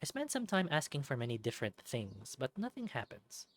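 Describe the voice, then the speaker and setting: A woman's voice: very deep voice, wildly swooping pitch, a little fast. A woman reading a visual novel aloud as a let's-play narrator, with casual asides. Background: music.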